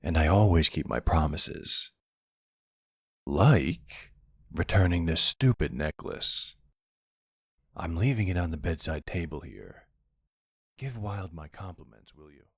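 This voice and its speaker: Male actor as smug vampire seducer, ASMR roleplay, slow taunting drawl, gravelly fry, close dry sound. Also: expressive, no room sound, heavily acted. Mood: teasing, softer. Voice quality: low, gravelly, husky.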